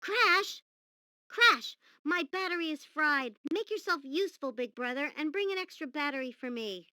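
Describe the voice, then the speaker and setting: A perky teenage girl bandicoot from a cartoon game. She is bossy, high-pitched and piercingly bright, recorded close and dry. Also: animated, moderate pace, no echo. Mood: impatient. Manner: bossy, exclaiming. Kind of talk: video game cartoon acting.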